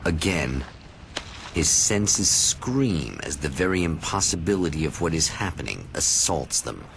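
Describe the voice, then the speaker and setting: An actor playing a portentous narrator of an eerie television anthology, speaking in a measured, theatrical way with a deep gravelly voice. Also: male voice, big pitch swings, background noise, heavily acted. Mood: ominous, portentous. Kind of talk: dramatic scripted narration in vintage anthology show manner.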